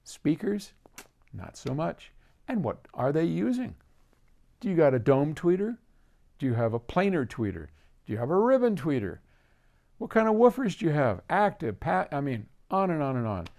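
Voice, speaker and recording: A man's voice: on the high side, big pitch swings, moderate pace, vocal fry, a little mellow. An older man hosts casually, answering a viewer's question in an emphatic, opinionated way. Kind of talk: casual explanatory talk to camera.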